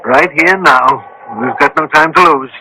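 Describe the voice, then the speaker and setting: Noisy old radio show, a sharp forceful detective doctor, self-assured, formal, dry wit. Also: male voice, a little high, normal speed. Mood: brisk, commanding. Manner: formal, authoritative, dryly witty. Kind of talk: vintage radio mystery drama acting.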